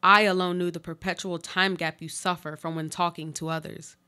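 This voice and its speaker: A woman's voice: lowish voice, little pitch movement, moderate pace, pushed and bright, smooth. A woman acting a haunted, watchful woman in a radio play, with intense, dramatic delivery. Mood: watchful, intimate. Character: haunted, watchful woman clinging to existence through words. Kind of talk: live radio play monologue.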